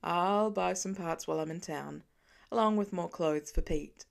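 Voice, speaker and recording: A woman's voice: lowish voice, moderate pace, gravelly, voice pushed down. A woman voicing an easygoing, teasing husband and father in a story reading. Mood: practical.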